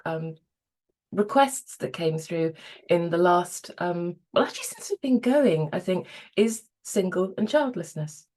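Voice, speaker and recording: A woman co-hosting a podcast with a slightly croaky voice, talking in a rambling, friendly way with fillers. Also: lowish voice, unhurried, a little mellow. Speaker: podcast co-host.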